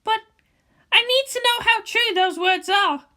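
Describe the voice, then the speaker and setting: A woman's voice: very high voice, a little slow, bright, forceful tone, voice pushed up, full character voice. A woman voicing a proud, brash but vulnerable teenage girl in a fan fiction reading. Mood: vulnerable, pleading.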